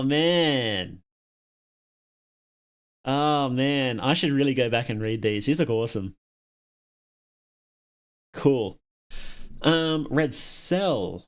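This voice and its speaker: A man hosting a casual livestream, chatting to viewers and thinking aloud in a rambling, opinionated way. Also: medium pitch. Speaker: livestream host.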